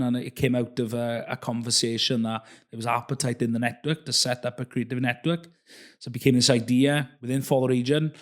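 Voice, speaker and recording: A man hosting a podcast in a casual, conversational tone. His voice sits at an ordinary mid pitch. He is friendly and a little hesitant, filling pauses with soft "uh"s as he gathers his thoughts. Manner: friendly, hesitant with frequent uh fillers. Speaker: podcast host. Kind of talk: casual interview chat.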